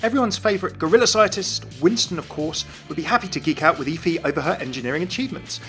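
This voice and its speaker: A man's voice: a little high, expressive, quick pace, fairly bright tone. A man giving a scripted commentary on video game lore. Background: faint music.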